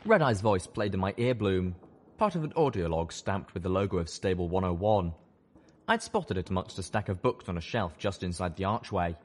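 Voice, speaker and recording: A man's voice: deep voice, animated, brisk. A man giving dramatic scripted narration of a story as an audiobook narrator.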